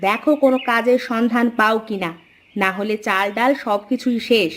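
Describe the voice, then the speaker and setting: Cartoon wife, acted by a woman: demanding, sharp-tongued, forever scolding her husband. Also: fairly high pitch, fairly even intonation, moderate pace, close to an everyday voice. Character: nagging, demanding wife of a poor villager. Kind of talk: Bengali cartoon folk-tale acting.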